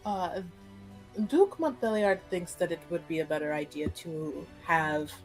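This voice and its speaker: A woman's voice: low voice, animated, a little slow, a little mellow, faint music behind, poor audio. A chatty, playful woman talking casually as a player in a tabletop roleplaying game. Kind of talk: casual improvised roleplay and narration.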